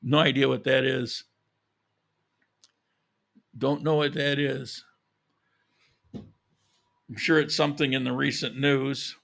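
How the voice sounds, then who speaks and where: Guy vlogging, gravelly and kinda bright, rambles a lot, short of breath, voice going. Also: mid-range voice, level tone, slow pace, pauses often. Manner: opinionated, rambling with many restarts. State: losing his voice, short of breath. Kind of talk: casual chat.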